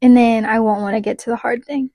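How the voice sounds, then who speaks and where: A woman's voice: on the high side, fairly even intonation, quick pace, warm tone, no echo, studio quality. A young woman co-hosting a podcast in casual chat, chatty and steering the talk toward practical tips. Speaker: podcast co-host.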